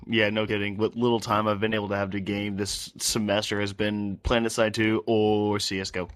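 A man's voice: lowish voice, little pitch movement, fairly quick, dry recording. A young man co-hosting a casual gaming podcast, cutting in with teasing, dry remarks. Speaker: podcast co-host. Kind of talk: casual chat among friends.

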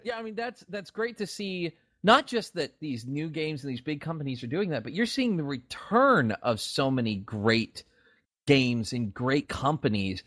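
A man's voice: fairly high pitch, expressive, normal speed, fairly bright tone, dry recording, studio quality. A man co-hosting a casual podcast discussion about games, speaking in an enthusiastic, emphatic way. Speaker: podcast co-host.

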